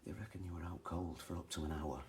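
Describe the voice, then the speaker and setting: A man's voice: low-pitched, quick pace, darkish tone. A middle-aged man acting in a radio drama as a firm, protective father, terse and guarded. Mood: gentle, concerned.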